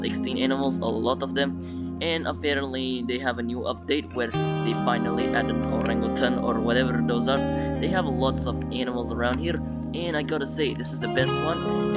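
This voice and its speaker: Russian-accented man, dull lo-fi mic. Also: mid-range voice. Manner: rambling, repeats himself. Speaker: YouTube game reviewer. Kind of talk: casual gameplay commentary. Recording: dull lo-fi mic with music behind.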